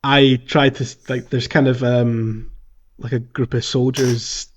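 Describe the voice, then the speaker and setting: A man co-hosting a podcast, chatting informally and a little haltingly about playing a video game. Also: medium pitch, little pitch movement, muffled recording. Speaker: podcast co-host. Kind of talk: casual chat about a video game playthrough.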